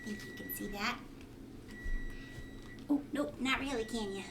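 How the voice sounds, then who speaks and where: A woman's voice: on the high side, a little slow. A woman reading a children's storybook aloud like a teacher, explaining and checking in with listeners with questions. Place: at home indoors. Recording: roomy home recording, distant mic, faint noise.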